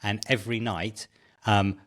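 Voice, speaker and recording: Middle-aged British man, lowish voice. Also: little pitch movement, a little slow, fairly bright tone. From Britain. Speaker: podcast guest, tech founder. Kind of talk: casual anecdotal chat.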